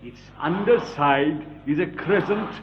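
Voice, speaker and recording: An actor playing a cruel inquisitor who torments prisoners with formal, measured menace, in the style of old radio horror. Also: male voice, on the high side. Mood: gloating menace. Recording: muffled, noisy old radio recording.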